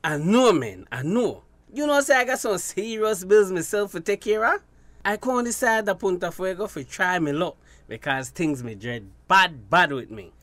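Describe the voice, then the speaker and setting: A man's voice: high-pitched, lively intonation, moderate pace, a bit bright, no echo. An actor with a Belizean Creole accent playing a hard-up, guarded fisherman in a radio drama. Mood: wry. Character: hard-up, guarded male fisherman seeking fresh start.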